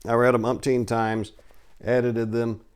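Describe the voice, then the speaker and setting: A man's voice: mid-range voice, level tone, a little slow, a little mellow, clean tone. A man with a theatrical, hammy delivery, speaking to listeners as a storyteller. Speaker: narrator and story editor. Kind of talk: dramatic story narration and informal talk to listeners.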